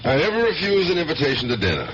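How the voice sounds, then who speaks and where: An actor playing a skeptical police sergeant with clipped, persistent questioning in a 1970s radio drama. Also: male voice, a little high, wildly swooping pitch, very fast, background noise. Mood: casual curiosity. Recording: dull, hummy old radio recording.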